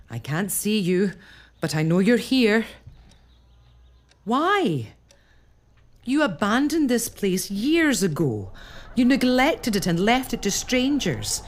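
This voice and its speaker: A woman's voice: mid-range voice, a little hiss or hum. A determined castle lady. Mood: tense. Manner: probing, wry, earnest. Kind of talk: period audio drama acting.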